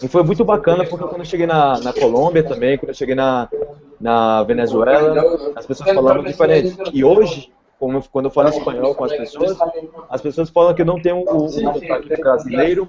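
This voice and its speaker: A Brazilian man with a Northeastern accent hosts the conversation, chatty and encouraging as he strings his thoughts together. His voice sits a little high for a man. A crowd can be heard clearly behind him, and the sound is somewhat dull. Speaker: host and language teacher. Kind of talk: casual interview chat.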